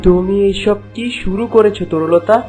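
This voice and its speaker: A man's voice: high-pitched, moderate pace, music in the background, natural voice. Man voicing a stern, reproachful young prince. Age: young adult. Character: stern young prince of twenty-eight, devoted to his mother. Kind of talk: Bengali fairy-tale cartoon dubbing.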